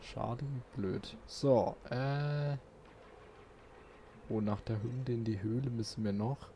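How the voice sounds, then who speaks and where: A man's voice: mid-range voice, fairly even intonation, takes it slowly, mellow, slight background noise. A man doing casual let's play commentary, musing aloud and chatting to his viewers. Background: game music.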